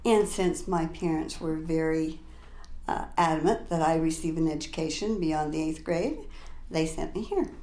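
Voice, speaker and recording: A woman's voice: sits low, moderate pace, a little mellow. An older woman who grew up in a small mining town, recalling her school days in an interview with light amusement. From a small Utah mining town. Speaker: interviewee, alumna. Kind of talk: casual reminiscing. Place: room with large windows, indoors. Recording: roomy with faint hiss.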